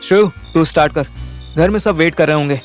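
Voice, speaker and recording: A man's voice: on the high side, fast, faint music behind, lightly acted. A man playing a young schemer who narrates an audio drama in a wry, colloquial way with an Indian accent. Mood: explaining, placating. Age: young adult. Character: young male schemer narrating his detective venture, wry, placating. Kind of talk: first-person audio drama narration and dialogue in Hindi-English mix.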